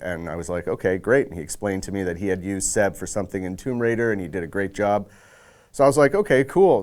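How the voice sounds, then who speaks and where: Audio director, male, fairly low register, reflecting informally on a project for a making-of piece. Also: darkish tone. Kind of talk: casual making-of interview.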